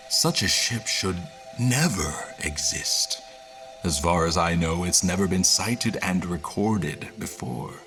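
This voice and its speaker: A man giving scripted, dramatic story narration in a measured, solemn way, with music under his voice. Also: low voice.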